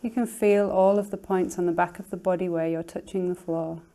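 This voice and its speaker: A woman teacher leading a guided relaxation in a soothing, unhurried, gently instructing manner, her soft voice turning breathy at phrase ends. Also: on the low side, steady tone.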